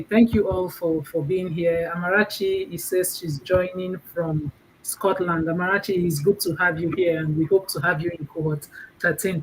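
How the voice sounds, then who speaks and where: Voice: mid-range voice, slight background noise, rough recording. A host of an online graduation ceremony, reading out chat comments and passing the floor to speakers over a video call. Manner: brisk and friendly, handles muting mix-ups.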